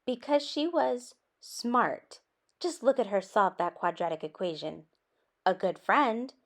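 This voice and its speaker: A woman reading a children's story aloud in an expressive way, with animated asides addressed to young listeners. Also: fairly high pitch, a little slow. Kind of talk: expressive picture-book read-aloud.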